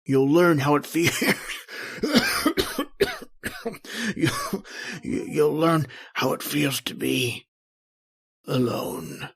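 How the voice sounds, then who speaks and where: A man's voice: a little high, slow pace, creaky, breathy edge, full character voice. A man playing a bitter, imperious, dying old uncle in an old-time radio horror play, full of menace. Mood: menacing.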